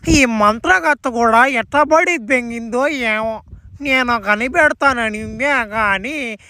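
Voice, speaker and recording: A man's voice: very high-pitched, slow, pushed and bright, full character voice. A man voicing a vengeful, scheming villain king who rants crudely and theatrically in a comic cartoon dub. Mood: exasperated.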